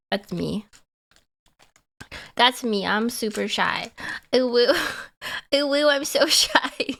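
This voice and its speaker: Hoarse, gravelly young woman streamer. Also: fairly high pitch, bright, forceful tone. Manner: playful and giggly, teasing herself. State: hoarse, stuffy from a cold. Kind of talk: casual live-stream chat.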